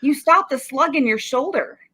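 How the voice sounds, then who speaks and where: A folksy radio-play detective, voiced by a woman, pleads in slangy 1940s style. The voice is high and forceful, rising and falling freely as it tries to placate. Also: animated, moderate pace. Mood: placating. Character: plain-spoken, placating police detective pal.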